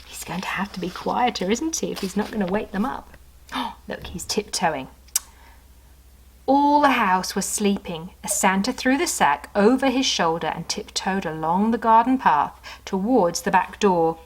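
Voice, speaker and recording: A woman narrating a children's storybook in an animated style, with chatty asides to young listeners. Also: medium pitch, a little slow, husky, rough recording.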